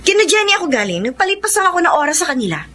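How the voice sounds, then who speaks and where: A woman's voice: very high-pitched, sing-song, very fast, pushed and bright, a little hiss or hum, full character voice. A Filipino actress playing an evasive, cheating girlfriend in a radio drama, stammering and flustered. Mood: nervous, evasive.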